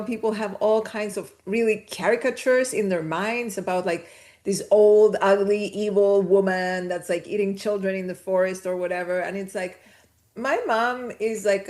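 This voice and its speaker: A woman's voice: mid-range voice, a little mellow. A woman from Chile, a podcast guest, chatting casually and telling personal stories with laughs mixed in. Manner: chatty, storytelling, laughs mid-sentence. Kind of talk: casual conversation.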